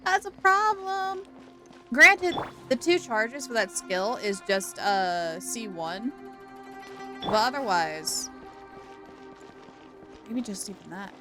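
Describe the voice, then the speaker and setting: A woman's voice: on the high side, expressive, takes it slowly, pauses often, over music. A woman streaming a game live, chatting to herself as she works out puzzles. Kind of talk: live gameplay commentary.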